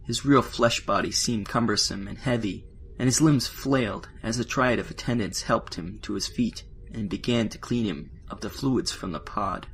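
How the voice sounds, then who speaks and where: A man's voice: fairly low pitch, moderate pace, few pauses. A man reading scripted narration as the narrator of a science-fiction audio drama. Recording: faint background noise and ambience.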